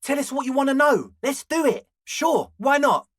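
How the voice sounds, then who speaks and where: A man's voice: extremely high pitch, animated, moderate pace, fairly bright tone, dry recording, studio quality. A man voicing an eager, flaky young male slacker ringleader in a video game, talking in an earnest, ingratiating, hedging way. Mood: eager, agreeable. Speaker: voice actor. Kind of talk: video game character dialogue.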